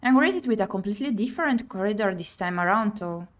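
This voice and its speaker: A woman's voice: mid-range voice, expressive, quick pace. A woman streamer reading a visual novel aloud in first person and musing over the gameplay. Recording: music under the voice. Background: game music.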